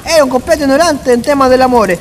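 Male actor, teasing drunk Chilean storyteller, high-pitched, radio drama, noisy ambience. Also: fast talker. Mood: storytelling. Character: wry drunk village storyteller, teasing his friends.

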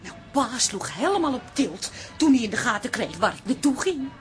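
An actress playing a warm, excitable middle-aged wife who searches for her words in a naturalistic radio drama. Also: a little high, moderate pace, soft background music. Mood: hesitant, wistful. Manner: hesitant, searching for words, exclaiming.

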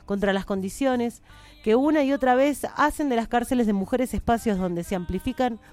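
A woman's voice: fairly high pitch, moderate pace, a little mellow, dry recording, faint music behind. A woman, an activist reading a protest manifesto aloud in an earnest, declamatory way. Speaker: feminist activist.

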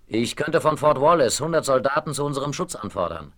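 An actor playing a polite, businesslike railway official of the Old West in a German audio drama. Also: male voice, medium pitch, expressive, brisk, lightly acted. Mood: concerned.

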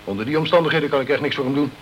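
An actor playing a sceptical, self-protective British consul in a crime radio play, with a condescending, chummy, blustering way of talking. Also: male voice, a little high, rapid-fire, slight background noise, lightly acted, low-quality recording. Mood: indignant.

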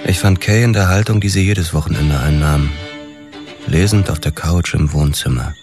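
A man's voice: very deep voice, normal speed, music in the background. An actor playing a sober detective who narrates his own hardboiled story in a German radio drama. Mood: sober, observant. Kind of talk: scripted first-person noir narration, German radio drama.

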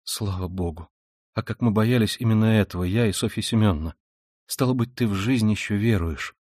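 A man's voice: lowish voice, brisk, darkish tone, no echo, clean studio sound, natural voice. A man reading an audiobook voices a frightened, loving young woman who pleads with anxious exclamations, barely shifting his own voice. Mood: anxious, tender.

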